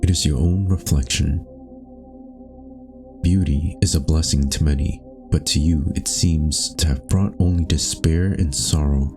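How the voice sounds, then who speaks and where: A man reading scripted second-person narration for a dark existential story in a solemn, measured, brooding manner. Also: very deep voice, steady tone, over music.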